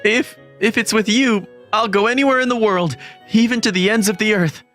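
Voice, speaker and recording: A man voicing an earnest, lovestruck teenage student who narrates a scripted manga story in a measured way. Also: high voice, a bit bright. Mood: lovestruck, flustered. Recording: background music under the voice.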